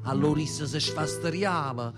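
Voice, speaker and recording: Guy doing an old neapolitan mamma, high voice, chatty n nostalgic, devout, radio theatre monologue with music behind. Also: moderate pace, close to an everyday voice. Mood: animated reminiscing. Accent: southern Italian, Neapolitan. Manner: confiding, rambling, rhetorical asides to listeners. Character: elderly Southern Italian mother, devout, chatty, nostalgic, tender.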